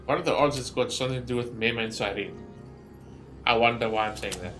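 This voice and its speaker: Bright-voiced man reacting to a game. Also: medium pitch, fast, soft background music. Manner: thinks aloud in questions and speculation. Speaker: gaming streamer reacting to a story quest. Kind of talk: casual gameplay reaction commentary.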